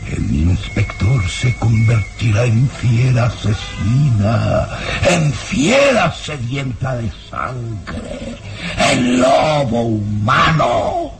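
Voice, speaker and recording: An actor playing a sinister, menacing baron in a radio drama, with theatrical, insistent, drawn-out delivery. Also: male voice, mid-range voice, expressive. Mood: ominous, accusing. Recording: radio drama with loud music behind. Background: dramatic music.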